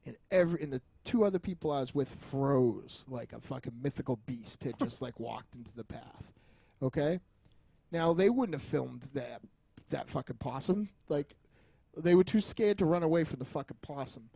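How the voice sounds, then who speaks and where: A man rambles through casual podcast chat with a joking, foul-mouthed manner. His voice is dark and relaxed with plenty of creak, and he sounds close and dry. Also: mid-range voice, moderate pace, no room sound. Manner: profane, joking. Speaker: podcast co-host.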